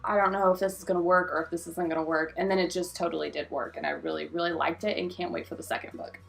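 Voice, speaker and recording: A woman's voice: fairly low pitch, flat delivery, fast, creaky, background noise. A woman talking casually to viewers as a book vlogger, informal and effusive, with emphatic repetition. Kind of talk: casual chatty video talk to viewers.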